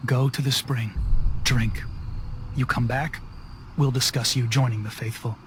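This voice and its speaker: An actor playing a stern, devout cult zealot in a video game, speaking in a measured, solemn and cryptic way. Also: male voice, medium pitch, steady tone, a little hiss or hum, lightly acted. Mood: solemn, commanding. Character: stern, devout male cult zealot guarding his faithful. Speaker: cult gatekeeper. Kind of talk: video game dialogue acting.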